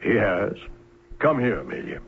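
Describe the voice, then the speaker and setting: Gravelly, commanding older inventor. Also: male voice, on the low side, sing-song, slight background noise. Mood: proud, probing. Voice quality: gravelly, raspy. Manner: theatrical, commanding, deliberate. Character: bitter, proud older male inventor and uncle, coldly scheming. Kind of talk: old-time radio drama acting. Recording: lo-fi, muffled old radio broadcast.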